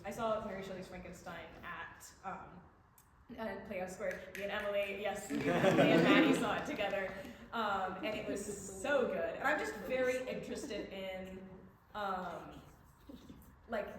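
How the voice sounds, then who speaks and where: A young woman answering questions as a playwright in a casual, hesitant, think-aloud way. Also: mid-range voice. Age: young adult. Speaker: student playwright. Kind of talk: casual post-show Q&A answers. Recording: very echoey room, faint background noise.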